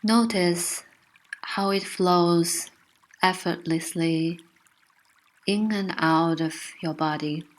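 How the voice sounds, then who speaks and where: A woman narrating a guided meditation with gentle, soothing, unhurried instruction. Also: fairly low pitch, steady tone, poor audio.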